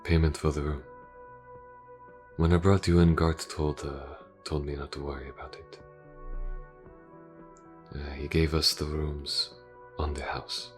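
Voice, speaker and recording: Man voicing a very deep, measured detective. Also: level tone, faint music behind. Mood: reflective. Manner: measured, formal, gently reassuring, hesitant pauses. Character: calm, reserved male police detective caring for wounded partner. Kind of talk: audio roleplay, character acting.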